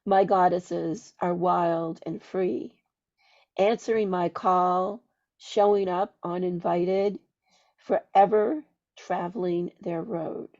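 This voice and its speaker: A woman hosting a podcast, her voice squeaky from a cold, chatting casually and then slowly reading a ritual invocation. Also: steady tone, a little mellow, dull audio. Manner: slow, deliberate, chanting readings. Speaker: podcast host.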